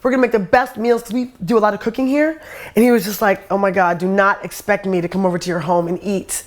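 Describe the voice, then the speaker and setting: A woman's voice: medium pitch, fairly even intonation, fast. A middle-aged woman with an American accent, from a suburb of New York City, talking candidly in a personal interview. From suburb outside New York City, United States. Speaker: interviewee, playwright and performer.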